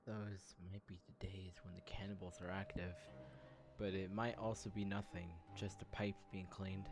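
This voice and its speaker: A man's voice: sits low, flat delivery, moderate pace. A man doing a casual game playthrough, commenting and reading dialogue lines aloud.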